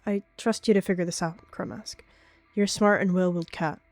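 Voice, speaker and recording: A woman's voice: fairly low pitch, fairly even intonation, fairly quick, no room sound, close to an everyday voice. Guarded she-cat , played by a woman: dry close sound, anxious, earnest, faint music behind. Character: anxious, guarded female warrior cat with a secret. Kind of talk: text roleplay acted aloud.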